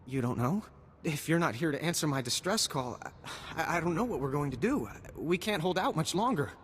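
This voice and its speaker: A man's voice: on the high side, expressive, a little fast, natural voice. An actor playing an earnest, anxious male army lieutenant in a video game, urgent and sometimes faltering. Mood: worried, pleading. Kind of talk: scripted video game cutscene acting. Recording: long reverberant hall, faint background noise.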